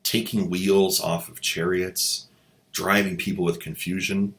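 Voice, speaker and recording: Sarcastic man with a low voice. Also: level tone, unhurried, creaky, a bit bright. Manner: mocking, irreverent. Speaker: channel host and commentator. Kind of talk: sarcastic commentary.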